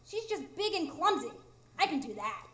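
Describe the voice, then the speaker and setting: A proud fairy with a very high voice, stuck living as a human. She is plaintive and emphatic, wounded at heart, acting in a live radio play in an echoing room. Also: sing-song, moderate pace, a bit bright. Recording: roomy live stage performance.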